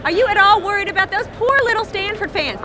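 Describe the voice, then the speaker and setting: A woman's voice: very high voice, animated, fast. A woman TV reporter asking fans upbeat, teasing questions live into a handheld microphone. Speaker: TV news reporter. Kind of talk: live fan interviews. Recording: live feed with loud crowd and noise.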